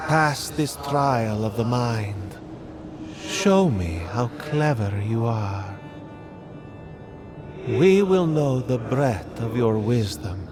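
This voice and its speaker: A haughty ancient keeper of trials in a fantasy game. He speaks slowly and solemnly, with condescension in each measured phrase. Music swells behind the voice. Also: lowish voice. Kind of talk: dramatic fantasy game acting.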